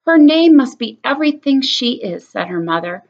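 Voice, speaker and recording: A woman's voice: sits high, big pitch swings, normal speed, slight background noise. A woman voicing a doting, tender mother. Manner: tender, soothing. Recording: slightly muffled lo-fi recording.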